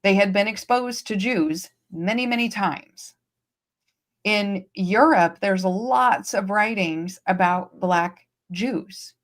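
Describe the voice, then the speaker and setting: A woman's voice: medium pitch, takes it slowly. Emphatic woman hosting a livestream. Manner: emphatic, explanatory, repeats key points. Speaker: host. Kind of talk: livestream discussion. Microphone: streaming setup.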